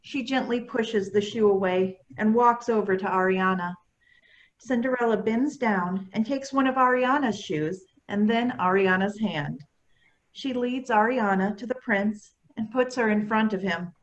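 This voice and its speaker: A woman's voice: medium pitch, unhurried, muffled recording. A woman reading stage directions as a scripted narrator.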